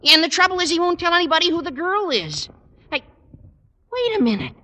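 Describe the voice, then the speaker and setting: An actor playing an excitable teenage boy student in a 1950s radio sitcom, talking in eager, fretful chatter. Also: extremely high pitch, fairly quick, full character voice. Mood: agitated. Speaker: high school student driving his teacher.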